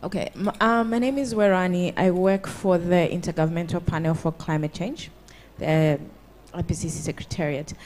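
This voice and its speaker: A woman's voice: lowish voice, fairly even intonation, moderate pace, few pauses, darkish tone. A woman making an earnest comment from the audience, encouraging the panel to get involved. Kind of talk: comment from the floor.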